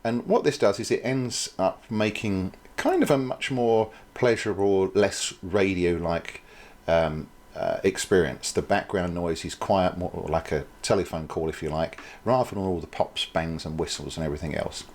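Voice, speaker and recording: Very low-voiced man giving an informal demo. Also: moderate pace, darkish tone. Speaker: radio product presenter. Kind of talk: informal demonstration voice-over.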